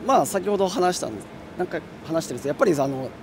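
A young man talks fast with lively pitch, earnestly explaining his view. Clear street noise fills the background. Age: young adult. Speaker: interviewee. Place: city street outdoors. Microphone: handheld mic held by interviewer.

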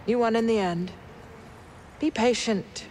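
An actress playing a wry, patient female Jedi in a video game, composed and gently teasing. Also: fairly high pitch, measured pace, background noise, close to an everyday voice. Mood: calm, reassuring. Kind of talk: cinematic video game acting.

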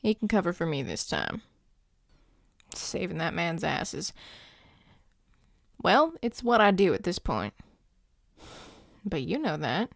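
A woman acts out a grumpy werewolf boyfriend in an intimate audio roleplay. The voice is lowish and gravelly, recorded very dry. The delivery is halting, gruff and tender, addressed straight to a loved one. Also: sing-song, no echo, heavily acted. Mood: wry, affectionate, then soft, coaxing. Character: grumpy, devoted young male werewolf boyfriend.

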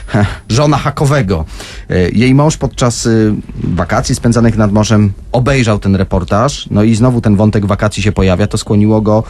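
A man's voice: fairly low pitch, moderate pace, a little mellow. A man hosting a radio crime talk, asking probing questions and telling the story with some drama. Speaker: radio host. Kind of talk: conversational radio interviewing.

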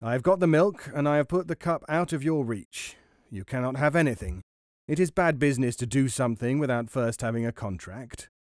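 A man voicing a hearty, scornful dairyman in a storybook, with a booming, mocking manner. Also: fairly quick, no room sound, clean studio sound, lightly acted. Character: scornful, hearty male dairyman who mocks others. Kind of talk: storybook character voice.

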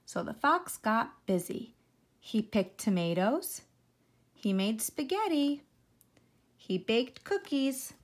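Slow-paced woman reading a storybook. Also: a little high. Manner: explains the pictures to young listeners. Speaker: teacher reading aloud. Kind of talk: children's storybook narration with simple asides.

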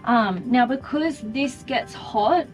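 A woman's voice: a little high, slow pace, rough recording. A young Australian woman chatting casually as she shows round her caravan, upbeat with plenty of ums and likes. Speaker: vlogger showing a caravan. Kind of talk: casual walk-through chat. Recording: faint music under the talk.

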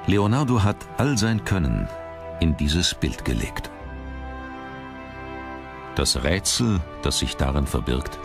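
Very deep-voiced male documentary narrator over music.

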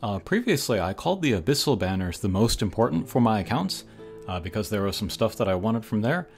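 Man, low pitch, casual gaming commentary, hesitant thinking aloud, music behind. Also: big pitch swings, a little fast. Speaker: gaming channel host. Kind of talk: casual commentary over mobile game pulls. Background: game music.

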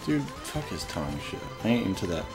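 Young male let's-play host, lively up-and-down voice, excitable, cursing at the game. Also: low-pitched, brisk, over music. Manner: excitable, swearing, frustrated outbursts. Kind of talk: casual gameplay commentary.

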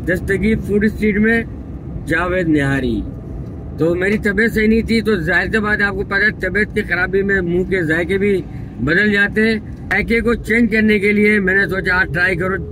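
A middle-aged man, chatting casually as he drives and points out the sights, recorded inside a car. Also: high voice. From Pakistan. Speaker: vlogger. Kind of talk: casual driving tour narration. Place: inside a car on city streets. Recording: car and street noise behind.

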